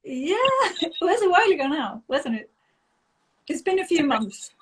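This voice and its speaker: A woman's voice: very high voice, very animated, measured pace. A woman yoga instructor hosting a casual live stream and video call, upbeat, laughing often, with fillers and restarts.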